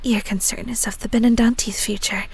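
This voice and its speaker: A woman playing a bitter, defiant young female werewolf in an audio roleplay, breathy, hushed and biting. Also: a little high, fairly even intonation, a little fast. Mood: accusing. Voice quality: breathy, whispery. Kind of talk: dramatic audio roleplay acting.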